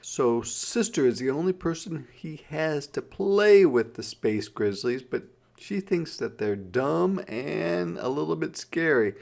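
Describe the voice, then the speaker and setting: Animated, creaky storybook reader for children. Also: mid-range voice, slow, few pauses. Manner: explaining simply, questioning the listener. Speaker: teacher reading aloud. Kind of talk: interactive children's story read-aloud with explanations.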